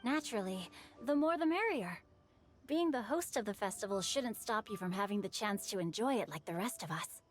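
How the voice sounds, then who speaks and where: A woman's voice: medium pitch, expressive, brisk, soft background music, natural voice. A woman playing a well-bred, bookish boy in a video game, speaking politely and formally. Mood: agreeable. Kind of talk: animated video game voice acting.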